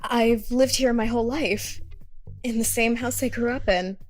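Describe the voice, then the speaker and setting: A woman's voice: a little high, fairly even intonation, unhurried, soft background music, close to an everyday voice. A woman voicing a lonely, self-effacing young shopkeeper in a visual novel, hesitant and apologetic, with small stammers. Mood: guarded, apologetic.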